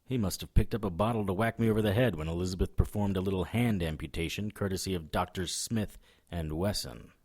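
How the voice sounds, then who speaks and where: An actor playing a gruff, wry, hard-drinking brawler who tells his own story in a hard-boiled audio drama. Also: deep voice, a little fast, darkish tone. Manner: sardonic, terse.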